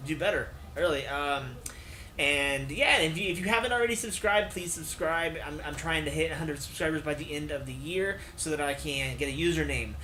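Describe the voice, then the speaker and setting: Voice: mid-range voice, moderate pace, slight background noise. A let's player narrating a visual novel and commenting casually, recorded in an echoey room. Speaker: video game let's player. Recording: echoey room under loud music. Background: loud instrumental music with guitar.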